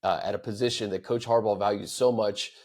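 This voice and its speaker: An American man hosting a sports podcast, discussing the game casually and giving his opinions in a measured way. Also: fairly low pitch, fairly even intonation, darkish tone.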